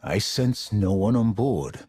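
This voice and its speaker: Very deep, husky, grim Sith warrior. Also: male voice, lively intonation, a little mellow, no echo, soft background music, exaggerated voice. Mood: scornful. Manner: slow, menacing, formal. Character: grim, ancient male Sith warrior, contemptuous and ruthless. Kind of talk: dramatic video game voice acting.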